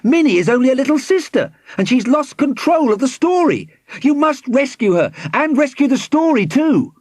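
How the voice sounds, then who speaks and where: A man's voice: very high voice, very animated, moderate pace, darkish tone, dry recording, clean studio sound, voice pushed up. A man voicing an earnest, indignant young boy in a storybook, exclaiming with disapproval. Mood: distressed.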